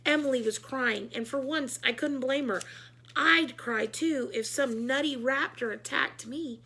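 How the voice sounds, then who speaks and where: A woman reading a children's book aloud in an animated, emphatic storytelling style. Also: high-pitched, unhurried, husky, poor audio.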